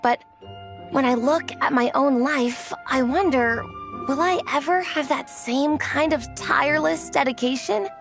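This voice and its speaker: Earnest high-voiced young artist. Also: female voice, unhurried, gravelly, soft background music. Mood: wistful, doubtful. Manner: sincere, reflective. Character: earnest, self-doubting young woman artist. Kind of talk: video game voice acting.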